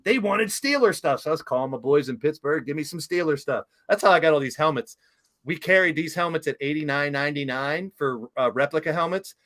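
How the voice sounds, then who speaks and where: A man's voice: a little high, fairly quick, a bit bright. A middle-aged man hosting a talk show interview, chatting casually in an enthusiastic, emotional, rambling way.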